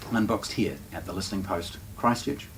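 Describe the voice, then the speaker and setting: A man's voice: deep voice, normal speed, vocal fry. A man giving a casual, unscripted product walkthrough as a shop presenter, hesitating and rephrasing as he goes. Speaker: shop presenter demonstrating audio gear. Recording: distant mic with faint background noise.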